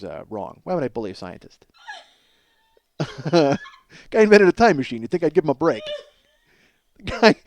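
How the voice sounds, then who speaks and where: A man in his forties with a slightly high-pitched voice, delivering a loose comedic monologue. He is animated and emphatic, swears freely, and keeps interrupting himself as he riffs in a casual, chatty way. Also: no room sound. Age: 40s. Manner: profane. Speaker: comedy podcast host.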